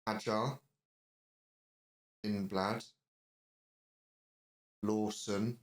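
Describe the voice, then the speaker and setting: A man with a low voice co-hosts a podcast in a relaxed chat style. He talks conversationally, with some hesitation as he goes. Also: very slow, low-quality recording. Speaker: podcast co-host. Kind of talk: casual chat.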